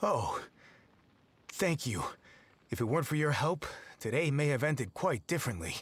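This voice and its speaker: An actor playing an earnest, dutiful patrol guard who explains things carefully in a video game. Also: male voice, medium pitch, big pitch swings, normal speed, slightly rough, no echo. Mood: relieved, businesslike. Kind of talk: video game character acting.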